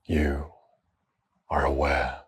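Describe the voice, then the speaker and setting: Very deep, slow, hushed male meditation guide. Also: flat delivery, breathy edge. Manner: hushed, unhurried, long pauses between short phrases.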